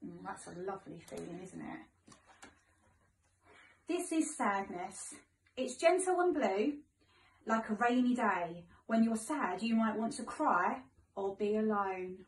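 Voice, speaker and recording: A British woman in her thirties, a teacher reading a picture book aloud to young children in an expressive, gentle way. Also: mid-range voice, measured pace, long pauses. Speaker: primary school teacher. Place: living room, indoors. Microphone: phone camera a few metres away. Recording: echoey room, far from mic.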